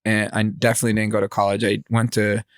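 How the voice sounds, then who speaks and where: A man's voice: fairly low pitch, completely flat delivery, fast talker, a bit bright, dry recording, clean studio sound. A man, chatting casually as a podcast co-host in laid-back, slangy talk. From grew up in Los Angeles area, California, born in Israel.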